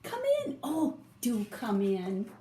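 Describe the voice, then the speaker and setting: A woman voicing a gentle, kindly storybook man who adores animals, in drawn-out, welcoming phrases. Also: medium pitch, big pitch swings. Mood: delighted surprise. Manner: sighing, drawn-out, warmly welcoming. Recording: roomy echo, faint background noise.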